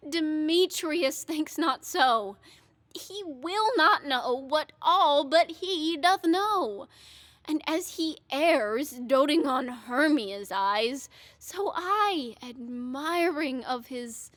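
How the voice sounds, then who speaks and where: A woman's voice: high voice, fairly bright tone, no echo, full character voice. A woman playing a lovesick, wounded young woman who schemes, speaking Shakespearean verse in an audio drama.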